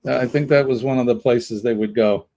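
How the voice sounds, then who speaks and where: A man's voice: mid-range voice, fairly even intonation, brisk, mellow. New England man in his sixties, explaining. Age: 60s. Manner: explains things, reads out viewer comments. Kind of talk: casual live-stream chat.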